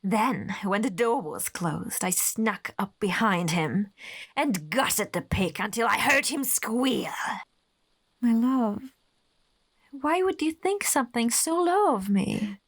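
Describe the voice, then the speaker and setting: Horror audio drama villainess: jealous, possessive, condescending, higher-pitched, slow and deliberate. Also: female voice, animated, full character voice. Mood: cold, condescending menace. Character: possessive, menacing, jealous woman tormenting her lover. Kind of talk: horror radio drama acting.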